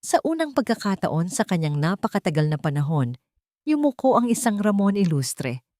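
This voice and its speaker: A woman's voice: mid-range voice, big pitch swings, moderate pace, warm tone, no echo, clean studio sound. A woman with a Filipino accent reading scripted, dramatic story narration for an audio drama.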